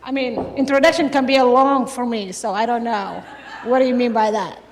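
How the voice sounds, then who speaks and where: Fairly high-voiced woman on a panel, jokey and chatty, echoey hall. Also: steady tone, fairly quick. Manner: chatty, joking, laughs often. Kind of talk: casual self-introduction. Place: university event hall, on stage.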